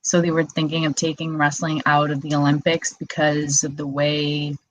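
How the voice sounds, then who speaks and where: A woman's voice: low-pitched, completely flat delivery, moderate pace, dry recording, poor audio. A woman in her twenties from Quebec, a wrestler chatting casually as a guest and telling her story with lots of "like". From Quebec, Canada. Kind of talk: casual interview chat.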